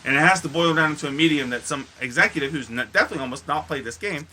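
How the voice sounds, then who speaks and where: A man's voice: fairly high pitch, rapid-fire, bright, forceful tone. A man co-hosting a podcast in casual conversation, talking in an emphatic, blunt way with some swearing. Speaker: podcast co-host. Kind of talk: casual chat about movies and games.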